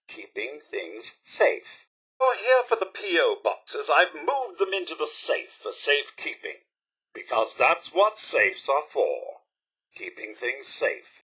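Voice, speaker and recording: A man's voice: on the high side, fairly bright tone, husky, full character voice. A man playing a prim robot butler in a video game, haughty, over-polite and theatrically gloomy. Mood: brisk, courteous. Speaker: robot servant and attendant. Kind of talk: video game character acting.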